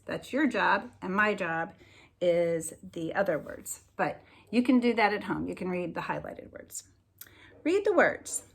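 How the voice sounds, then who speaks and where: A woman with a slightly husky voice reads aloud in the manner of a primary teacher. Her pitch moves in wide, lively swings over an unhurried pace, with careful emphasis on the words for young listeners. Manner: deliberate enunciation with expressive storybook emphasis. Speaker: teacher reading aloud to pupils. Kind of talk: slow expressive read-aloud lesson for children.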